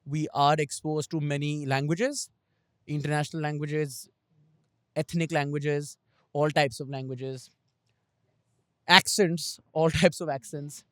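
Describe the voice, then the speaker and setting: A man's voice: on the high side, steady tone, measured pace, long pauses, no echo. A young man with an Indian English accent, leading a casual group conversation as host with jokey asides. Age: young adult. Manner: talkative, leads the discussion, jokey asides. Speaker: podcast host.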